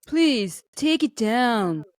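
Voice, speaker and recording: A woman's voice: a little high, sing-song, extremely slow, heavily acted. A woman playing a creepy, apologetic man in a mock-dramatic comedy reading, pleading for forgiveness.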